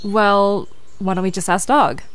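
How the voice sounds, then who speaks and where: A woman's voice: medium pitch, steady tone, a little slow, clear, resonant tone, lightly acted. A woman playing a curious, determined young investigator in an audio drama, earnest and conversational.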